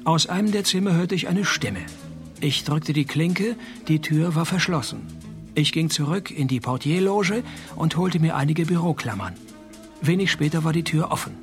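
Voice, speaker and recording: A fairly high-pitched man as a wry private detective in a radio play. His narration and dialogue are dry, sarcastic and deadpan, with faint music. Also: normal speed. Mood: wry, matter-of-fact. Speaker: narrator and lead character.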